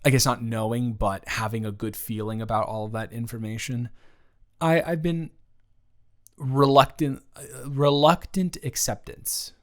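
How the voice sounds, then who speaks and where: A man playing an awkward, considerate ex-boyfriend in an improvised audio roleplay, speaking conversationally in a halting, stammering way. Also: mid-range voice, steady tone, a bit bright. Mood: sheepish, candid. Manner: hesitant, halting, stammering, with nervous chuckles. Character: awkward, considerate young ex-boyfriend, nervously teasing.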